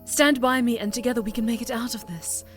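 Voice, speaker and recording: A woman's voice: on the high side, fairly even intonation, quick pace, lightly acted. A woman playing a proud, betrayed witch mentor in a scripted fantasy roleplay, dramatic and anguished as she pleads or rebukes. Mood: anguished, pleading. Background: background music.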